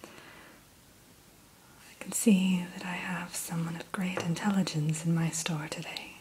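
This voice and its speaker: A woman in an ASMR roleplay who whispers gently and courteously as the keeper of a dragon hatchery. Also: fairly low pitch, level tone, takes it slowly, slight background noise, low-quality recording.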